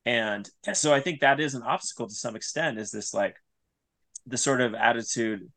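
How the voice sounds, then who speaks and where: A man as an interview guest, talking informally and reflectively, halting as he searches for his words. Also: medium pitch, a bit bright, no echo. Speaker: podcast guest, writer and audio creator.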